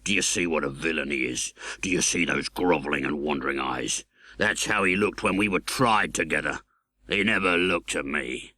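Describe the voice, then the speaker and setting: A man voicing a hardened, scornful English convict in an audiobook, with slow, grudging, uneducated speech. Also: very deep voice, sharp tone, dry recording, voice pushed down, heavily acted.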